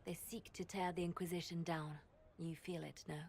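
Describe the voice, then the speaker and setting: A shrewd, wistful court diplomat from a fantasy game. Her slightly low voice is solemn and measured, with a poetic turn. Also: flat delivery, vocal fry, a little hiss or hum. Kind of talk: video game voice acting.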